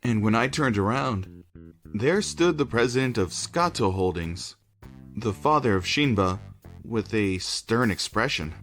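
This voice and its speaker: Man narrating a story, lively pitch. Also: measured pace, faint music behind. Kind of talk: scripted first-person story narration.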